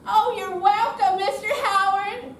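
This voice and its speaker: Brief: woman as glib female bank impostor, high voice, officious and soothing, readers theater, recorded from far off. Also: steady tone, unhurried. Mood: reassuring. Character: smooth, reassuring woman con artist posing as bank caller. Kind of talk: amateur readers theater stage reading. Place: indoor senior center performance room. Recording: distant echoey hall, faint noise.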